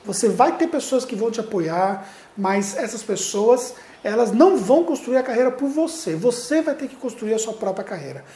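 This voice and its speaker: A man's voice: extremely high pitch, lively intonation, moderate pace, mellow. A middle-aged man with a Brazilian accent, giving earnest advice straight to the viewer as a public speaking coach, recorded on a lapel mic in a studio. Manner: earnest, addresses viewer directly, gestures a lot while talking. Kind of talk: direct-to-camera advice video. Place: indoor studio with plain light backdrop. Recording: roomy echo.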